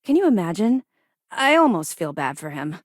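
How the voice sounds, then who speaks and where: An actress playing a disciplined, guarded female officer in a space navy for a video game, with a crisp, formal briefing manner. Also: on the low side, big pitch swings, moderate pace, no room sound, studio quality. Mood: wry. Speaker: video game character voice actor. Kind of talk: video game dialogue acting.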